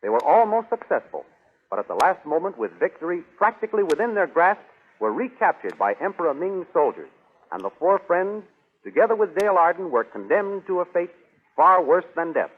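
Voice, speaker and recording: An American male announcer narrates like a 1930s adventure serial. His voice is high for a man, formal and declamatory. It sounds thin and tinny, like an old broadcast. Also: very animated, fairly quick, slight background noise.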